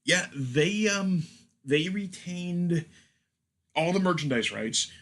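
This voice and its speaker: Higher-pitched male podcast host, wry. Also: animated, slow, fairly bright tone. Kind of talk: casual film chat.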